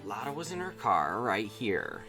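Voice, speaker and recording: Casual livestream gamer, slangy, animated range, reads characters aloud with music behind. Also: mid-range voice, a little slow, creaky. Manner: casual, slangy, switches between character voices. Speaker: streamer playing and voicing a game. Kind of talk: livestreamed read-aloud of game dialogue with casual reactions.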